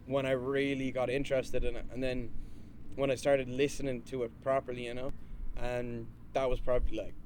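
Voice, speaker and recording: A young man with a Dublin accent talks reflectively about his start in music, sometimes stumbling before he settles. His voice has an average pitch, with faint noise behind it. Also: little pitch movement, fairly quick, a little mellow, low-quality recording. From Dublin, Ireland. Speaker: band member. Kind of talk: casual interview.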